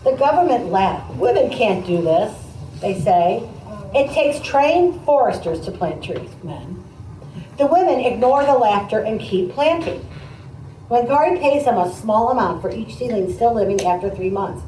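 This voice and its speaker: Mellow woman teacher reading aloud, echoey room. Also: a little high, expressive. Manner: conversational, questioning, pauses to comment to listeners. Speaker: teacher demonstrating a read aloud to pupils. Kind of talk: picture-book read aloud with think-aloud commentary. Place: echoey indoor classroom. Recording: roomy, distant mic, faint background noise.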